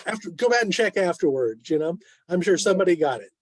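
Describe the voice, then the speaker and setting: A man explains things informally over a video call. His voice sits fairly high for a man and sounds dry, with little room echo. He drops in wry, joking asides. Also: expressive, quick pace. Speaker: club member presenting. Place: online video meeting.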